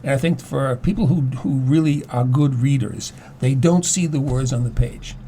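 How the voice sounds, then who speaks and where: Guy chatting as a guest, soft mellow tone, keeps restarting words, some steady noise behind him. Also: mid-range voice. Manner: halting, repeats words while explaining. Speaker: guest, former English teacher. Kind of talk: casual discussion.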